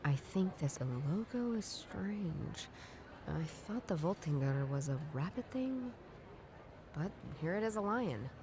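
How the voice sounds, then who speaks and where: Curious detective lady in a game, lowish voice, musing, noisy background. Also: lively intonation, a little slow. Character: inquisitive young woman detective puzzling over clues. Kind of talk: scripted video game dialogue, thinking aloud.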